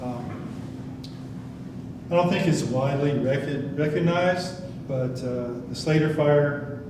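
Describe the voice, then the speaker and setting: A man in his sixties who directs a county department, speaking at a town hall in a folksy, rambling way with a voice he calls monotone. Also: fairly high pitch, slow pace. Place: community meeting hall. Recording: echoey hall, distant, noisy.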